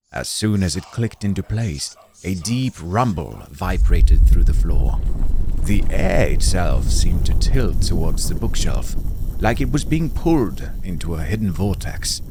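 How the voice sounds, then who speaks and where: A man narrating a scripted story in a deliberate, dramatic, theatrical storytelling style. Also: low-pitched, lively intonation, background noise.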